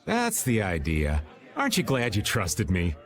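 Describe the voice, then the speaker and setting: An American actor playing a sly, jokey older rogue in an anime game dub, with a folksy, teasing, theatrical manner. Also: male voice, lowish voice, wildly swooping pitch, unhurried. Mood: sly, breezy.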